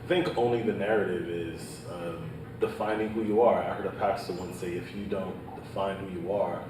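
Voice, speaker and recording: A low-voiced man from Haiti answers a panel question earnestly, leaning on data and checking in with "right?". He sounds distant from the mic in a strongly reverberant room. Steady background noise hums beneath him. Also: moderate pace. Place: panel event in a hall.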